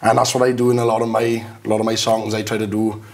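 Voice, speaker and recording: A man in his twenties from Wales with a naturally rough voice, a guest singer chatting haltingly and trailing off. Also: fairly low pitch, level tone. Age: 20s. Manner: halting, soft-spoken, trailing off. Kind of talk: casual interview chat.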